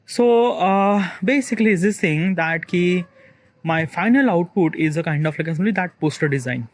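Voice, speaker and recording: A man's voice: high voice, animated, moderate pace, no room sound. A man, a content creator recording a solo spoken diary, thinking aloud in a hesitant, rambling way full of fillers and restarts.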